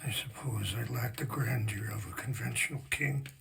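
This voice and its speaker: Old peace-seeking chief, gravelly fry, medium pitch, solemn, measured, gentle, video game drama. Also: male voice. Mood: solemn, sorrowful. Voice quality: gravelly, creaky. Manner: slow, measured, reflective. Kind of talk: cinematic video game acting.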